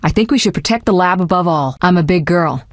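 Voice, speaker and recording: An actress playing a confident, proud young sorceress in a fantasy video game, speaking in a poised, articulate, assertive way. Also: female voice, lowish voice, expressive, fairly quick, no echo, clean studio sound. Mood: brisk, determined.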